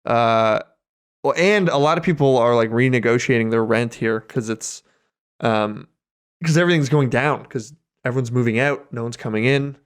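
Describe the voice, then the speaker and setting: Male co-host, medium pitch, informal and sweary, loose anecdotal chat full of slang. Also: normal speed, clear, resonant tone. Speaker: podcast co-host. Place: remote podcast call.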